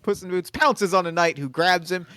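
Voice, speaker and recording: A man reading stage directions aloud at a table read, in a quiet, even voice. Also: high voice, normal speed, smooth, no room sound, studio quality.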